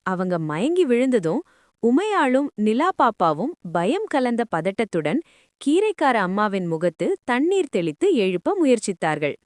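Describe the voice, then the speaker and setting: A woman telling stories to young children in an expressive, affectionate way. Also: fairly high pitch, measured pace, no echo, clean studio sound. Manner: animated, addresses listeners affectionately. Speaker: children's storyteller.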